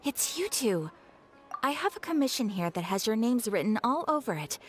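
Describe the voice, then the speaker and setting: A woman playing a polite, composed female guild receptionist who speaks in a measured, formal way. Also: on the high side, sing-song. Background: game music.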